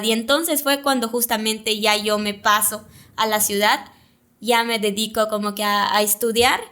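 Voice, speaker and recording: Woman, young adult, Maya speaker, hesitant, reflective, fairly high pitch. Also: a bit bright. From Mayapán, Yucatán, Mexico. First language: Yucatec Maya. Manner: reflective, hesitant, many fillers. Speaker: guest, communicator. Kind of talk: casual interview conversation.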